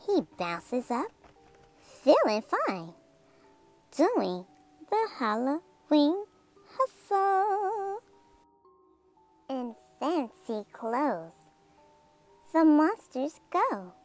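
Lady doing a kids rhyming story read-aloud, really slow and dramatic, music playing behind her. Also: high voice, big pitch swings. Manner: dramatic, theatrical delivery with drawn-out words and hushed phrases. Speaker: children's book read-aloud host. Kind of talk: expressive read-aloud of a rhyming picture book.

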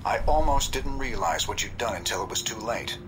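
An actor playing a stern, controlled leader of a covert intelligence cell in a video game, measured and firm. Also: male voice, fairly low pitch, lively intonation, lightly acted. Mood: stern, guarded.

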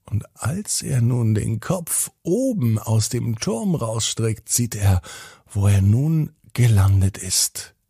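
A man narrating a bedtime story for children as a podcast host, phrasing deliberately with dramatic pauses. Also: mid-range voice, animated, mellow, husky, no echo, studio quality.

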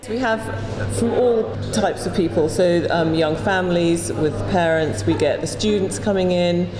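A woman's voice: lowish voice, a little slow, over music. A woman in her forties, a restaurant owner, chatting hesitantly in an interview indoors. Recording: echoey room with background noise.

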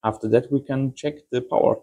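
Mellow-voiced German-accented man. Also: medium pitch, fairly even intonation, fairly quick. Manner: simple non-native English phrasing. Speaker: amateur radio vlogger. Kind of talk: casual explainer to viewers.

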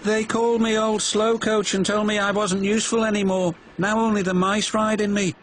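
A man's voice: high-pitched, flat delivery, normal speed, fairly bright tone, voice pushed up, poor audio. A man voicing a sad, neglected old female railway coach, plaintive, in a children's storybook. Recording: music behind the voice.

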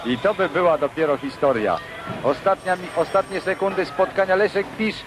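A man playing an excited football commentator calling a match on a broadcast. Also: high voice, moderate pace, lightly acted. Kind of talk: sports commentary. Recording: distant broadcast under loud crowd noise.

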